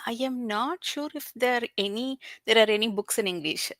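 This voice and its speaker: A woman's voice: fairly high pitch, moderate pace, fairly bright tone, no room sound, clean studio sound. Hesitant woman from India. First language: Indian language. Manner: hesitant, many fillers. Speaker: guest. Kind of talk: casual chat.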